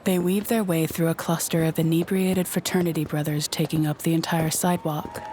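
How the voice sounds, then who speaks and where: A woman's voice: sits low, level tone, brisk, a little mellow, slight background noise. A woman narrating a scripted audio drama in a descriptive storytelling manner.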